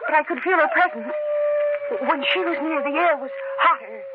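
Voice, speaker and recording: An actress plays a haunted young woman with a high, breathless voice. She is melodramatic and insistent, in 1940s radio mystery style. The recording is muffled, with heavy hiss and noise. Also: normal speed, close to an everyday voice. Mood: insistent, overwrought. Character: frightened, haunted young woman convinced of ghosts.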